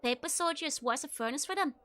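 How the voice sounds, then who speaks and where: A woman's voice: high voice, animated, fairly quick, sharp tone. A Dutch-accented woman reading the lines of a weary male former sellsword, with a light dramatic tone. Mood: grim storytelling.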